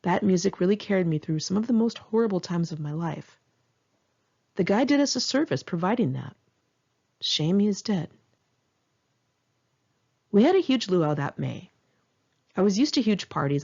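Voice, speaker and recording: A woman's voice: fairly low pitch, expressive, normal speed, creaky, pauses often, a little mellow, breathy edge, lo-fi sound. A woman narrating her own memoir as an audiobook, in a candid, conversational and wry way. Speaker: author reading own memoir.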